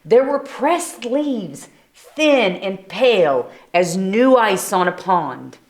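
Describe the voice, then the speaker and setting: Woman reading a storybook with very lively intonation. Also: mid-range voice, takes it slowly. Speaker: storybook reader. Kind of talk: animated children's read-aloud with brief asides.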